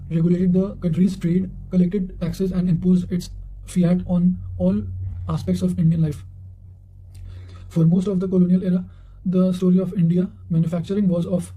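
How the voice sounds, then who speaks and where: A man with a fairly high voice and a very dark, relaxed tone reads study material aloud. His delivery is formal and flat in melody, with careful phrasing that often stumbles and restarts. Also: low-quality recording. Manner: careful, formal reading with frequent stumbles over words. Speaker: reader of history study material. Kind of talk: reading quotations aloud for exam revision.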